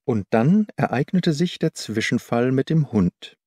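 A man reading literary audiobook narration in a measured, carefully articulated storytelling manner. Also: mid-range voice, expressive, darkish tone, no room sound, clean studio sound. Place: dry studio.

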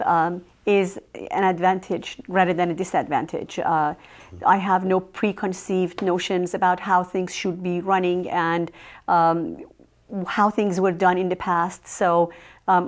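Persian-accented woman, hesitant candidate. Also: on the low side. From Iran. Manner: measured, many ums and uhs. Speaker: village trustee candidate. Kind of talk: local cable interview.

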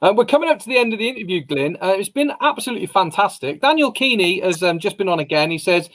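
A man's voice: high voice, animated, fast talker, fairly bright tone. A man, hosting a casual video-call interview, chatty and agreeable. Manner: chatty, agreeable, leading questions. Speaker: interview host. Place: indoor room with banner behind. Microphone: video call webcam.

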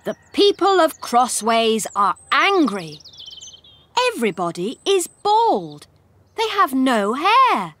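A woman narrating a simple scripted story for English learners in a deliberate, expressive way. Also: high-pitched.

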